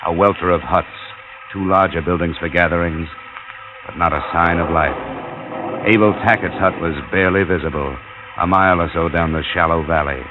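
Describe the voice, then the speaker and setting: Guy doing a tough noir detective, deep voice, measured and terse, crackly old radio show. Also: fairly even intonation, lightly acted. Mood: somber. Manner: terse, measured, hard-boiled. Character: tough world-weary American insurance investigator, narrator. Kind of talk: 1950s radio noir acting and narration. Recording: muffled, noisy old radio recording.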